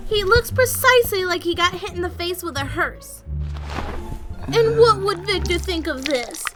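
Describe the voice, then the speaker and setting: Actress as plucky young girl, audio drama: extremely high voice, dramatic and pushy, over prominent music. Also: lively intonation, moderate pace. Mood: dramatic reproach.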